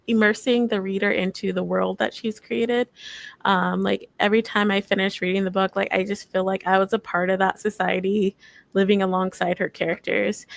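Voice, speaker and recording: A woman with a gravelly creak in her voice gives her thoughts on books. It is informal panel conversation, recorded dry. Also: mid-range voice, no echo. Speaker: book discussion panelist. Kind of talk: casual chat about books.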